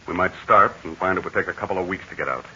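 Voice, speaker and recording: A steady, commanding aviator hero in a 1940s radio serial, speaking low and quick with a bright edge. The old recording is dull and lo-fi, with faint hiss behind it. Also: male voice. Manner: calm, assured, commanding. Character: brave steady male aviator hero, middle-aged. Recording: dull lo-fi 1940 radio transcription.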